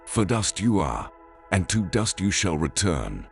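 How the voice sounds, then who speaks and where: Male actor as God, very deep, solemn, authoritative, expressive intonation, biblical audio drama. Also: measured pace, creaky, heavily acted. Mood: solemn condemning. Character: God, stern commanding male judge pronouncing curses. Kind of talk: dramatized Bible audio acting. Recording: background music under the voice.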